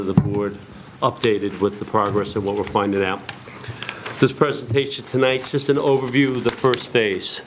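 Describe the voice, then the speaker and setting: A hoarse man giving a halting, formal presentation to a school board, full of fillers. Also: fairly low pitch, background noise. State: hoarse, lost voice.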